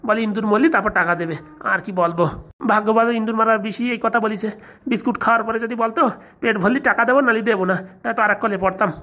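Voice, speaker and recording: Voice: medium pitch, fairly even intonation, racing, sharp tone, natural voice. A performer voicing a sly, grumbling village shopkeeper in a comedy cartoon, chatty and muttering, with a rural Bengali dialect. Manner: chatty, muttering asides, cautioning.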